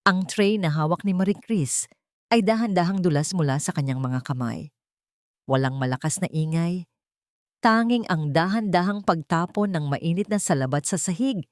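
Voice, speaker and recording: A woman with a fairly low voice narrates a sentimental audio drama. Her delivery is solemn and scripted, and the sound is dry and close, with little room in it. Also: animated, normal speed, a little mellow, no room sound. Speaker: storyteller, narrator.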